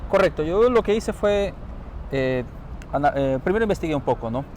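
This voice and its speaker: Interviewee, male, Ecuadorian accent, calm and explanatory, fairly high voice, outdoors with faint traffic. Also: moderate pace. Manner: calm, explanatory, often trails off softly. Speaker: interviewee, newly arrived asylum seeker. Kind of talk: casual interview answers. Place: outdoors on a city street. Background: faint traffic noise.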